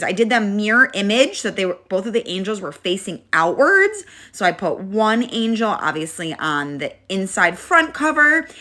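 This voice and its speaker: A young woman hosting a casual craft tutorial, chatty and step-by-step, her voice congested and a little hoarse. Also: medium pitch, pushed and bright. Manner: chatty, friendly, step-by-step instructions with frequent "okay?". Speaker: craft channel host.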